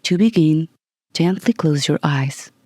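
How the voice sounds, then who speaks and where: A meditation guide reading a scripted session in a calm, gentle, soothing manner with long pauses. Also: mid-range voice, noisy background.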